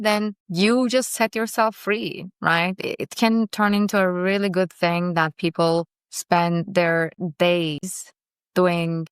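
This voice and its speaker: A young woman talks in a smooth, resonant voice that sits slightly low. She gives earnest, optimistic commentary, speaking in short phrases broken by pauses. The recording is close and dry. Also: no echo. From Turkey. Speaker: vlogger reacting to a podcast. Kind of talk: casual video commentary.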